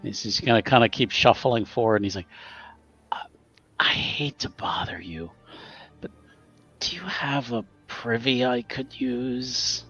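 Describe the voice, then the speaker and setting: A man's voice: medium pitch, measured pace, pauses often, pushed and bright, slightly rough, highs cut off, soft background music. A man playing a tabletop game, tentatively describing and acting out what his character does. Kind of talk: improvised narration of his character's actions.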